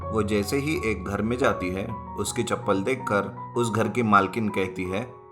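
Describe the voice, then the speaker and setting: Low-pitched man narrating a story over music. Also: unhurried. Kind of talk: scripted storytelling narration for an animated folk tale. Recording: music bed behind the voice. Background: background music.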